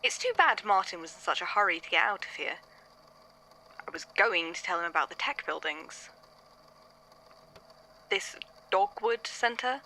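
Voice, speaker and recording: A woman playing a curious archivist who talks in a measured, musing way in a fan audio drama. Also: mid-range voice, big pitch swings, bright, forceful tone, slight background noise, heavily acted, poor audio.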